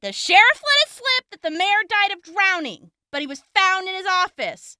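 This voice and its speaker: A woman's voice: extremely high pitch, sing-song, moderate pace, pushed and bright, dry recording, studio quality, heavily acted. An actress playing a bookish, sarcastic, blunt young woman on the run in an audio drama. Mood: blunt, eager. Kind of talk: scripted post-apocalyptic audio drama acting.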